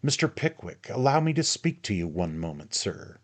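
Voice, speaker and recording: A man reading aloud and voicing an excitable, touchy middle-aged suitor who turns shrill and accusing. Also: moderate pace, close to an everyday voice. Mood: excited, eager.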